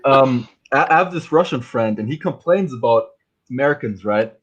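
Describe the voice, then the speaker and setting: Husky, blunt man on a dull mic. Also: medium pitch, expressive, normal speed. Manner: blunt, profane, laid-back. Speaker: stream co-host. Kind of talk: casual stream banter.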